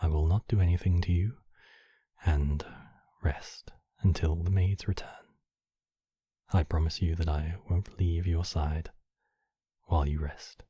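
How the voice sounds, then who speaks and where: A man voicing a courtly, possessive demon king, menacing yet tenderly protective, in slow, intimate ASMR roleplay with formal, measured phrasing. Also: low voice, steady tone, warm tone, breathy edge, no echo, clean studio sound. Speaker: voice actor in a roleplay. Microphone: close microphone, dry.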